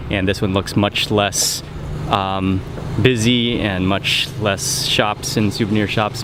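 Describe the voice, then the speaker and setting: A man's voice: mid-range voice, background noise. A man hosting a travel vlog, talking casually to the camera as he narrates his outing. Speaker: travel vlogger, host. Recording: music behind, outdoor street sounds. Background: music, street and vehicle sounds.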